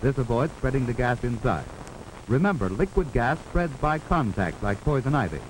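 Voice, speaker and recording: A man's voice: mid-range voice, lively intonation. Noisy 1940s American male film narrator. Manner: authoritative, formal, measured instruction. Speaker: narrator of a wartime civil defense instructional film.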